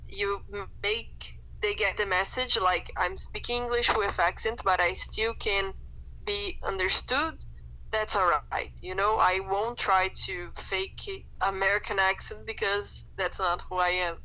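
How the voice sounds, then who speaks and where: A woman's voice: mid-range voice, fairly even intonation, a little slow, sharp tone, rough recording. A young woman, a guest chatting thoughtfully on a podcast with many fillers. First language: Portuguese. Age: young adult. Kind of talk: casual chat.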